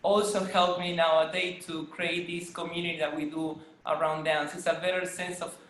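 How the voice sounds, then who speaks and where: A woman talks through her story in a relaxed way, filling pauses with ums. Her voice carries a strong room echo, like a video call from a bare room. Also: on the low side, a little hiss or hum. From Argentina. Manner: warm storytelling with frequent ums and soft laughs. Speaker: guest, dancer. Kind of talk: casual interview chat. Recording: echoey room.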